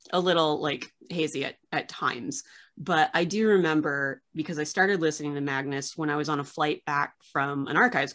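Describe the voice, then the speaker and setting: A woman's voice: low voice, a bit bright. A woman in her thirties, an archivist hosting a casual interview over a video call from a small room, talking in a chatty way with many fillers and restarts. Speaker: host, archivist. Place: small room with framed pictures on wall.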